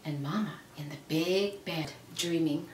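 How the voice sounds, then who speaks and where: Low-pitched woman reading a storybook, roomy recording. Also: measured pace, a little mellow. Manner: careful, dramatic phrasing with character voices. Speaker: reader reading aloud. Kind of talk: expressive storybook read-aloud for children. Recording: roomy, noisy, distant microphone.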